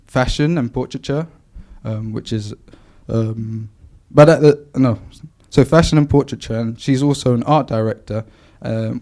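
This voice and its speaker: A man introducing a guest speaker at an event, halting with many fillers. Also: mid-range voice, dark tone. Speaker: event host.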